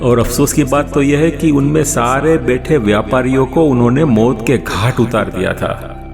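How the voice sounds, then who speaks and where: Man acting a reassuring rescuer, medium-pitched. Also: normal speed, creaky, music in the background, natural voice. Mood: sympathetic, amazed. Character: reassuring male sailor who rescues a castaway. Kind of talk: Hindi audio story acting.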